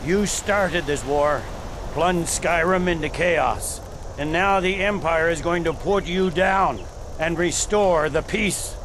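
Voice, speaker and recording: A stern, authoritative male general in a fantasy video game. He declaims accusations slowly in a raised, high voice, with clear background noise around him. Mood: angry, accusing. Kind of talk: video game character acting. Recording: noisy outdoor game scene ambience.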